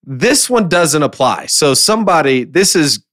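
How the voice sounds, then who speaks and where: A man's voice: fairly high pitch, sing-song, unhurried, a bit bright, no room sound, clean studio sound. A man chatting casually as the host of a podcast.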